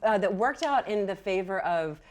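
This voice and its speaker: A woman game master narrating a tabletop game in a casual, halting, self-correcting way. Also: medium pitch, animated, darkish tone.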